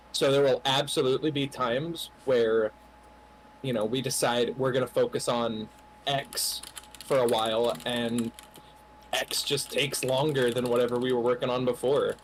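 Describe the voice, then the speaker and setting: A man's voice: medium pitch, slight background noise, poor audio. A man, a game developer, explaining the project's progress on a casual livestream in a thoughtful way, often saying "you know.". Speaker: game developer answering questions.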